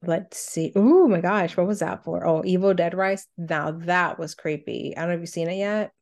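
A woman with a slightly low voice co-hosts a casual countdown show. She reads out each entry plainly, then drifts into conversational reminiscing. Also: normal speed, vocal fry, a little mellow. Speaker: co-host of a cartoon countdown show. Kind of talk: casual chat, reading out countdown entries.

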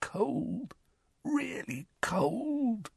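A man voicing a spoiled, dazed, frightened hulking teenage boy, hoarse and mumbling in halting phrases, for a storybook. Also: very high voice, sing-song, a little mellow, dry recording, studio quality, voice pushed up, exaggerated voice. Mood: shaken, dazed. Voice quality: hoarse, croaky.

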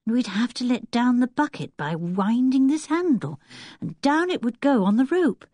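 A woman's voice: fairly high pitch, lively intonation, moderate pace, warm tone, dry recording, voice pushed up. A woman voicing a curious young girl who is a little fussy and wondering about everything. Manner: eager and wondering, easily frightened.

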